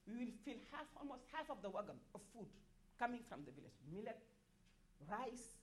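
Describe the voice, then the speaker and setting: Passionate Senegalese woman, halting delivery. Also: on the high side, sing-song, slight background noise. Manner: halting, many restarts and repeated words, rising in force on key points. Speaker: activist speaker at a development forum. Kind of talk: impassioned panel speech.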